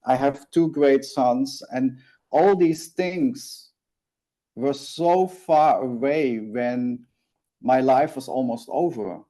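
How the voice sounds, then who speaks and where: A man in his forties from Belgium, a native Dutch speaker, talks through his story in an interview. His voice is mellow and warm, at a middling pitch. He speaks earnestly and haltingly, often restarting his sentences. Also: rough recording. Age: 40s. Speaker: guest.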